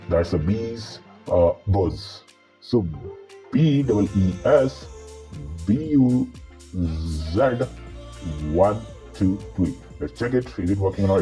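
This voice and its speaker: A man with a pitched-down monster voice filter, walking through game codes and spelling each one letter by letter. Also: slow, mellow. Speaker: gaming channel host. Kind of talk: mobile game code tutorial. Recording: voice-over with faint guitar music.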